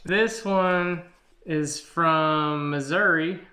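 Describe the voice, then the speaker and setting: A man's voice: fairly high pitch, drawn-out words, pushed and bright. A middle-aged American man chatting casually to viewers in a plainspoken, folksy way, as a family channel co-host. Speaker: co-host, father helping present a family channel. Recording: echoey, roomy home recording.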